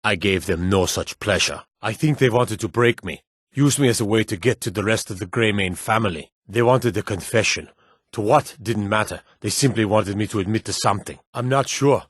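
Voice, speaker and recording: Low-voiced, weary fantasy guardsman. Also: moderate pace, husky, no room sound, clean studio sound. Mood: earnest, subdued. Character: weary, gruff fantasy city guardsman and soldier. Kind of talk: video game character dialogue.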